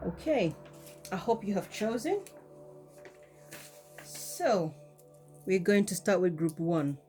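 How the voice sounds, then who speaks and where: A woman with a cold giving a casual tarot card reading, talking in a relaxed way with many ums and repeated "okay?". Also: medium pitch, slow pace, soft background music. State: has a cold. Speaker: tarot reader.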